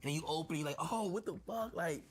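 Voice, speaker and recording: A young man with a Dominican accent, chatting excitedly and joking as he tastes and plates food in a restaurant kitchen for his stream. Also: on the high side, fast talker. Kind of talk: casual food vlog chat.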